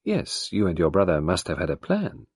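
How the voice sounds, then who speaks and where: A man reading an audiobook, voicing a calm older detective who speaks quietly and courteously. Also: low-pitched, moderate pace, breathy edge, no room sound, clean studio sound, heavily acted. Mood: probing. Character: calm older male detective, gentle, probing.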